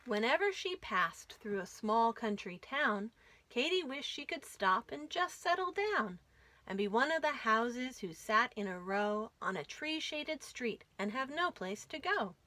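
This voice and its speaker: A woman hosting a children's storytime, reading aloud with an expressive, deliberate delivery for young listeners. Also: a little high, no room sound. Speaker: children's librarian and storytime host.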